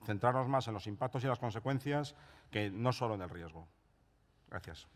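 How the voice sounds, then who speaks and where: A man speaking as a panelist at a conference, measured and explanatory, often closing his points with a tag question. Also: lowish voice, expressive. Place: conference room. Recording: reverberant conference hall.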